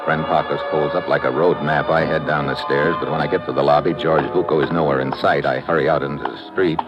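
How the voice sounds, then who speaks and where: An actor playing a tough, wry American government agent, clipped and deadpan, in old radio detective style. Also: male voice, very deep voice, quick pace. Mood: hardboiled, wry. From United States. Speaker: lead actor and narrator. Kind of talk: 1940s-50s radio detective acting, hardboiled first-person narration. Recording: dull old radio recording, music behind.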